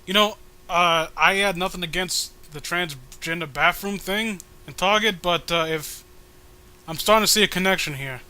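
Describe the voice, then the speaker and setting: High-pitched, exasperated man riffing. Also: pushed and bright. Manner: exasperated ranting, profane, halting. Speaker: co-host of a comic read-along. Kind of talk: casual comedic riffing and commentary.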